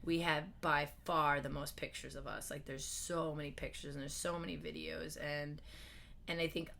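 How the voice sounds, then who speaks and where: A young woman with a hoarse voice, a podcast guest chatting casually at home, laughing often and trailing off mid-sentence. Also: deep voice, level tone, normal speed, bright, forceful tone, slight background noise. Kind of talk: casual interview chat. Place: family home.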